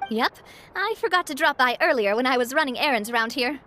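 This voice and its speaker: A woman's voice: high voice, lively intonation, fairly quick, bright, forceful tone, slight background noise. An actress playing a gracious, confident young lady boss in a video game, elegant and sincere, with music behind her. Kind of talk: video game character acting.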